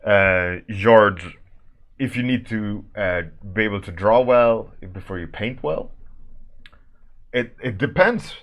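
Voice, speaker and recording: A man's voice: lowish voice, takes it slowly, a bit bright. A man from Sweden with a Swedish accent, chatting casually on a stream while he draws, rambling with restarts and frequent laughs as he answers chat. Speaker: artist streaming a warmup session.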